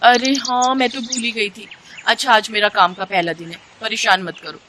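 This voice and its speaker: A woman's voice: fairly high pitch, fairly even intonation, normal speed, bright, forceful tone, a little hiss or hum. A woman voicing a cheeky, enterprising village wife who sells tea, with a theatrical way of talking, in a bedtime story. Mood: sassy. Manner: sassy, exclaiming, theatrical. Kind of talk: acted dialogue in a bedtime story.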